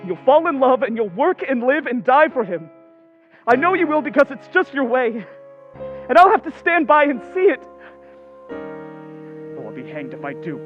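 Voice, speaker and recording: Man acting as a high-voiced, lovesick young suitor. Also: animated, moderate pace, long pauses, dull audio, soft background music. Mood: bitter, heartbroken. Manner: pleading, emotionally swelling delivery. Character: lovesick, ardent, impetuous young gentleman suitor. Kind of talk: old-time radio drama acting.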